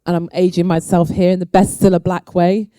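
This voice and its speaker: A woman's voice: fairly low pitch, level tone, fairly quick, warm tone, dry recording, studio quality. A woman with a Yorkshire English accent, moderating a panel discussion. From Yorkshire, England. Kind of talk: hosting a live panel discussion.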